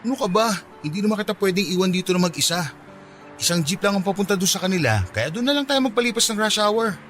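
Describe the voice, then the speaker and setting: Gentle, coaxing, higher-voiced young man. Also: expressive, quick pace, faint music behind. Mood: persuasive. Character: kind, persistent young male office junior, bashfully smitten. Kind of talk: Tagalog radio drama acting.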